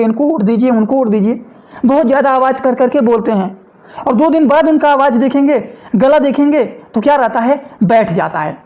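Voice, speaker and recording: A man giving a fast, loud video lecture to medical students, repeating points and often checking that listeners have understood. He sounds slightly hoarse. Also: extremely high pitch. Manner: repetitive, often asking "understood?", mixing English terms into Hindi; talks loudly and fast. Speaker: medical educator.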